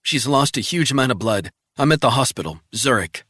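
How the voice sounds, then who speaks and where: A man's voice: medium pitch, expressive, fairly quick, fairly bright tone, dry recording, pitched above the performer's own voice. A man voicing an anxious young adult daughter calling with urgent news, in a dry audiobook reading. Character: distressed young woman, worried daughter.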